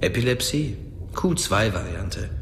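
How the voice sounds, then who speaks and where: A man's voice: on the low side, lively intonation, a little slow, background noise. An actor playing a cold, calculating male agent in a German science fiction radio drama, matter-of-fact and clipped. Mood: cool, commanding. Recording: echoey hall reverb, music behind.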